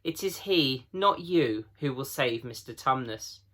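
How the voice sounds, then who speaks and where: A man voicing a gruff, proud old talking beaver in a children's storybook, folksy and emphatic. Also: medium pitch, lively intonation, unhurried, bright, forceful tone.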